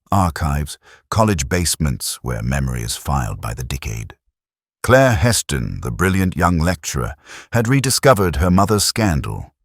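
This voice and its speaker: Very deep-voiced man narrating a mystery. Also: no room sound, studio quality. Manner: measured, reflective, solemn. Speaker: narrator of a detective story. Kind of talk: slow literary first-person mystery narration.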